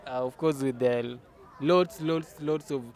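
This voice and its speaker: Man on an outdoor panel, somewhat higher voice, welcoming, hesitant fillers. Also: measured pace, a little mellow, faint music behind. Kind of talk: casual panel chat.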